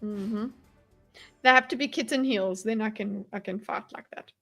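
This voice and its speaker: A woman's voice: medium pitch, normal speed, a bit bright, faint music behind. A woman hosting a livestream panel, chatting casually in a playful, joking way. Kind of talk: casual reaction chat. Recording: noisy livestream voice chat.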